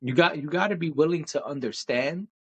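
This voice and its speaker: A young adult man from Brooklyn, New York, co-hosting a podcast and talking at length in an animated, emphatic way. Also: fairly high pitch, fairly quick, husky, highs cut off. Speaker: podcast co-host. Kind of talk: casual chat.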